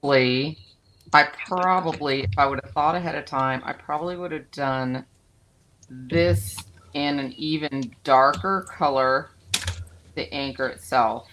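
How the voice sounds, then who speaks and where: Low gravelly Southern woman chatting. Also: measured pace, a bit bright, a little hiss or hum, rough recording. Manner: chatty, thinking aloud, easily amused. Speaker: co-host. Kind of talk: casual livestream craft chat.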